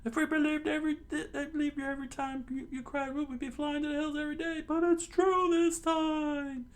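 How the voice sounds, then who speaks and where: A man voicing an alarmed, excitable young village boy in a strained, urgent falsetto while reading a story aloud. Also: a little fast. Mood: panicked, pleading. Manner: exclamatory, urgent.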